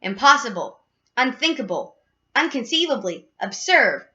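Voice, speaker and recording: Lady reader doing an eccentric factory owner guy from a kids book, amused, reassuring, forceful, kinda dull audio. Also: fairly high pitch, very animated, normal speed. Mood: blithely dismissive. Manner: emphatic, blithe, exclamatory.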